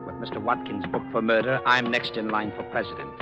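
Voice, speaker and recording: An actor playing a cold, scheming businessman and amateur killer with a measured, polite manner, in old radio drama style. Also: on the low side, close to an everyday voice. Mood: cold, menacing. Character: cold, scheming male office executive turned amateur killer. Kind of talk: 1940s-50s radio drama acting. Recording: muffled old radio recording, music behind.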